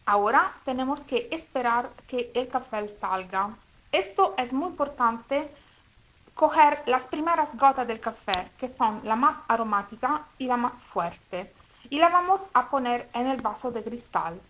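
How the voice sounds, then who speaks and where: An Italian woman with an Italian accent speaking Spanish as a second language, demonstrating a recipe step by step at home in a careful, slightly halting way. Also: a little high, lively intonation, a bit bright, low-quality recording. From Italy. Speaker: cooking video host. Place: home kitchen table.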